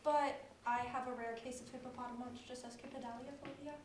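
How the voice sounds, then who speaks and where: A young woman acting in an amateur stage comedy as a fussy, phobia-ridden picky eater on a date, deadpan in delivery. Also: sits high, fast talker, natural voice. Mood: apologetic, deadpan. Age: teenager or young adult. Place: echoey indoor stage, recorded from afar. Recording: echoey stage, distant mic, faint noise.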